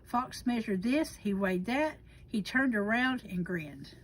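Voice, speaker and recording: A woman reading a children's storybook aloud expressively, with deliberate dramatic pauses. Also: fairly high pitch, animated, a little hiss or hum, low-quality recording. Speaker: library storyteller.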